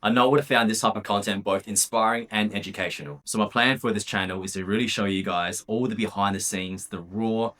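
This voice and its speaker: Low-voiced upbeat male vlogger. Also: steady tone, brisk, few pauses, a bit bright. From Australia. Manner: upbeat, candid, casual swearing. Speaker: vlogger and business owner. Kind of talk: casual vlog narration.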